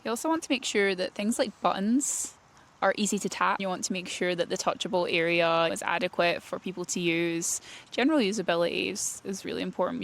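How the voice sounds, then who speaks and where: Woman giving a tutorial, slightly creaky voice. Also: lowish voice. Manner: plain-spoken, explanatory. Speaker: presenter of a website tutorial series for writers. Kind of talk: informal instructional explainer.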